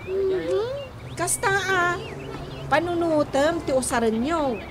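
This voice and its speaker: Actress as a very high-pitched sassy Filipino woman. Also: takes it slowly. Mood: teasing, scolding. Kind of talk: Filipino radio comedy drama acting. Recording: noisy background.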